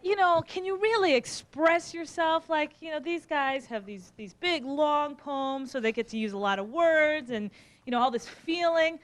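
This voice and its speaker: A woman hosting a youth show, interviewing casually in a teasing, animated way. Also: high-pitched, moderate pace. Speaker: host of a youth educational show. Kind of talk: casual interviewing with a young audience.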